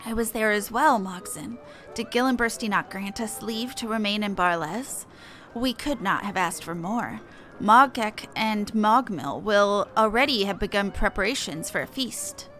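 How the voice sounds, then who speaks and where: A gentle young dragon, played by a woman with a reflective, formal manner. The voice sits at a middling pitch and is measured, with faint music underneath. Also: natural voice. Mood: contented. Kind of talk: reading game dialogue aloud in character.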